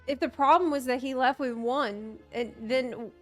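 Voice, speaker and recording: A woman's voice: high-pitched, unhurried. A woman game streamer giving live commentary, thinking aloud and reacting to what happens, with game music behind her.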